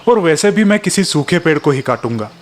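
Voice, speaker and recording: A man's voice: on the high side, animated, fairly quick, a little hiss or hum, close to an everyday voice. A man voicing a discontented, impatient villager farmer who wants money, in a dramatized children's moral story. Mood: dismissive. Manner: grumbling, impatient. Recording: music behind the voice.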